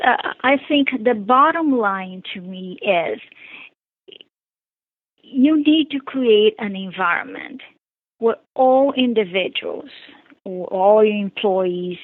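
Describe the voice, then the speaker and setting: Brazilian accent lady, slow and earnest, tinny phone line sound. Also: mid-range voice, dry recording. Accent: Brazilian Portuguese. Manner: earnest, deliberate, repeats key phrases. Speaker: author and guest. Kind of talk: radio interview.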